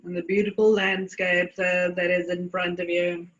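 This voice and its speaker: A woman from South Africa leading a guided meditation, speaking in deliberate phrases with long pauses. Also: on the low side, level tone, fairly bright tone, highs cut off.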